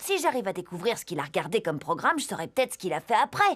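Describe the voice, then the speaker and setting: A woman's voice: mid-range voice, very animated, very fast. A woman voicing a self-assured young girl leading an investigation, in a French cartoon dub. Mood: determined. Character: determined young girl detective, bossy.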